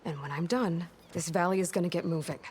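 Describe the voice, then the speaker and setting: Actress as a young heroine; terse, dry, skeptical, lower-pitched for a woman. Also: fast, a little mellow, a little hiss or hum, lightly acted. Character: blunt young female hunter hero. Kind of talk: video game dialogue.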